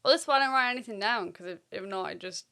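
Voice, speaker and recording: Croaky, tired woman chatting and laughing. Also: mid-range voice, fast talker, pushed and bright. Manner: laughs often, self-mocking. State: has a cold, tired. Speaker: podcast co-host. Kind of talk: casual chat.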